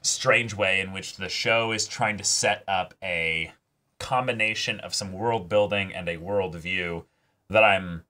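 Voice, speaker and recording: Male reaction host, lower pitch with a bright edge, halting casual talk, freely profane. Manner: halting, repeats phrases, swears freely.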